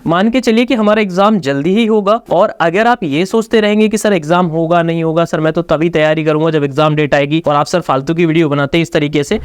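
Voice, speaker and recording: A man's voice: sits high, no echo. A man, a coaching teacher giving students an informal exam update, urging them on directly and repeating his points. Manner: repetitive, exhorting, addresses students directly.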